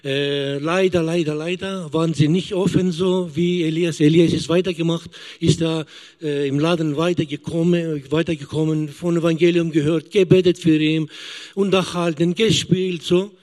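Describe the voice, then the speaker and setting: A man in his fifties, a missionary giving a halting testimony as a church guest and often searching for words. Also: on the high side, fairly even intonation, darkish tone. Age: 50s.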